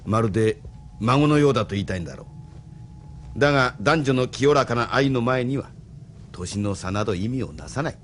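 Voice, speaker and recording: A man's voice: mid-range voice, lively intonation, moderate pace, a little hiss or hum, close to an everyday voice. An actor in a Japanese audio drama playing a sardonic, unruffled retired rebel in his seventies, speaking with blunt familiarity. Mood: wry, casual. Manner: familiar, gruffly worldly, sardonic. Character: wry, unruffled 75-year-old retired male rebel activist.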